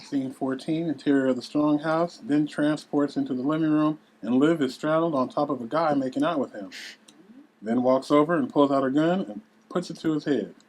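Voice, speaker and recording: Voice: medium pitch, moderate pace, darkish tone, rough recording. A narrator reading scene headings and stage directions at a table read.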